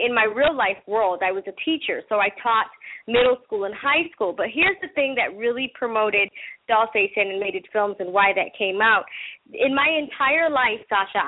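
A high-pitched woman from Panama, a native Spanish speaker, talks as an enthusiastic interview guest. She is chatty and eager as she promotes her work. Her voice comes through a muffled, narrow telephone line. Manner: enthusiastic, talkative. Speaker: interview guest, entertainment entrepreneur.

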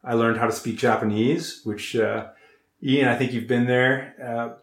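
A man's voice: medium pitch, fairly even intonation, measured pace. An upbeat, chatty American man in his forties, talking casually on a podcast. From Chicago, United States. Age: 40s. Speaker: podcast host and athlete. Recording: roomy, echoing podcast recording.

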